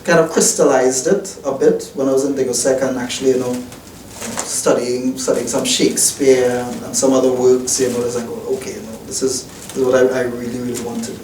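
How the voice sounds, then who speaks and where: A young man answers interview questions thoughtfully, stopping and restarting often and leaning on "you know." His voice sits at an ordinary middle pitch. It is picked up from a distance in a roomy office, with some background hum. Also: flat delivery, brisk, darkish tone. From Trinidad and Tobago. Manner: hesitant, many restarts and "you know" fillers. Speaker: newspaper reporter. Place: newspaper office. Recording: roomy, distant mic, faint noise.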